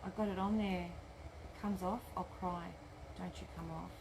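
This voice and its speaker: A woman's voice: fairly low pitch, fairly even intonation, normal speed, vocal fry, noisy background. A woman hosting a live craft demonstration from home, chatting and muttering to herself as she sews and answering viewer comments. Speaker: craft shop owner demonstrating sewing. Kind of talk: live-streamed craft demo chat. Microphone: tablet streaming live.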